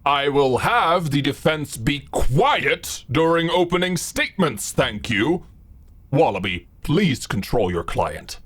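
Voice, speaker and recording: Casting: man as curt, unimpressed male judge for audio drama, slow delivery, mid-pitched with strongly varied intonation. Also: sing-song, fairly bright tone, a little hiss or hum, heavily acted. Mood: stern. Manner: authoritative, dry, curt. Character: stern, unimpressed courtroom judge. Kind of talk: courtroom roleplay audio drama.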